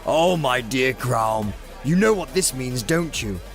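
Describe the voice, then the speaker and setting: Sci-fi villain, male actor: blustering, petulant tyrant, theatrical, swooping delivery with a creaky edge. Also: mid-range voice, animated, slow pace, soft background music. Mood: gloating, imperious. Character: petulant megalomaniac villain, ranting and mother-fearing. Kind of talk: sci-fi audio drama acting.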